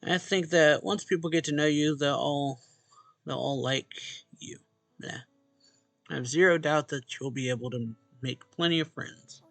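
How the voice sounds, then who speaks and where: Man, gravelly, casual game narration and dialogue reading, faint music behind. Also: a little high, moderate pace, a bit bright, no room sound. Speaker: let's play host reading a visual novel aloud. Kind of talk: casual read-aloud of game dialogue and first-person narration.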